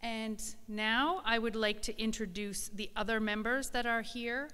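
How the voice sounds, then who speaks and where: A woman's voice: a little high, fairly even intonation, unhurried, pushed and bright, clean tone. A woman hosting a live event, making informal announcements in a hesitant, improvised way. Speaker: event host and presenter. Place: large indoor event space, live on stage. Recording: echoey hall, live event PA.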